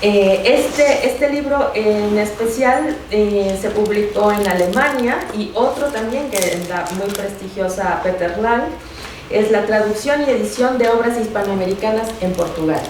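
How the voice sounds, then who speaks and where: A woman, an academic host introducing a guest speaker in a halting way, with many fillers and pauses. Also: mid-range voice, a little mellow. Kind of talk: formal introduction. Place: university lecture hall. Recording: echoey hall, distant mic, background noise.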